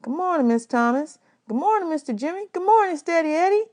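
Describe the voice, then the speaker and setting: A woman's voice: extremely high pitch, lively intonation, brisk, a little mellow, voice pushed up. A woman voicing a polite, wide-eyed young orphan boy in a storybook, folksy and exclamatory.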